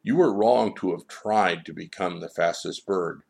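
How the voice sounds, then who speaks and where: A wise storybook child, read by a man, stern and all-knowing. The voice stays low and solemn, laying out each admonishment in firm, repeated declarations. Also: a little slow, creaky, exaggerated voice. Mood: solemn, admonishing. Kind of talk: storybook character dialogue.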